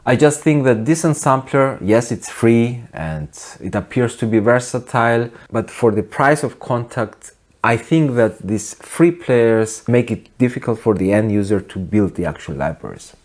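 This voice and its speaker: Male video essayist, forties, mid-range voice, dry and deadpan, opinionated. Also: mid-range voice, moderate pace. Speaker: host of a music production video channel. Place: small home studio, indoors.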